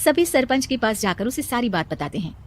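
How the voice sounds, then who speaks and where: A woman narrating a storybook cartoon in a steady storytelling manner. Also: a little high, fairly quick, no echo.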